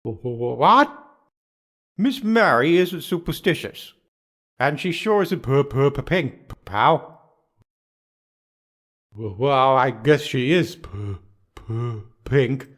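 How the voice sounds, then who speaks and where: An actor playing a bumbling, nervous police detective with a heavy stammer and a deferential manner, in a video game dub. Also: male voice, mid-range voice, expressive, slow pace, pauses often, a bit bright. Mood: rattled, apologetic.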